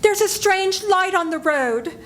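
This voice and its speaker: An older woman gives voice to a hunted woman in an old-fashioned radio mystery. The voice is strikingly high and unhurried yet breathless with panic. A clear room noise runs under it. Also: lively intonation, a bit bright, exaggerated voice. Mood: frightened. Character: terrified woman targeted by a killer. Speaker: stage reader in a live radio play. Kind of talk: 1940s radio drama reading. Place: senior center event room.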